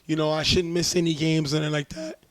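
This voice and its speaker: A man hosting a casual sports talk show, a bit hoarse as he loses his voice, breaking down plays in a chatty way. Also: fairly high pitch, fast, no room sound. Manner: relaxed, chatty play-by-play breakdown. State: losing his voice. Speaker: show host, sports commentator.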